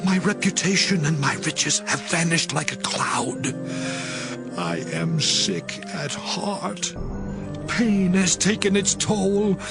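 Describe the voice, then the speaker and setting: An actor playing a righteous, once-proud man in a dramatized scripture reading, solemn and expressive. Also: sits high, takes it slowly, creaky, exaggerated voice. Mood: bitter, anguished. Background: background music.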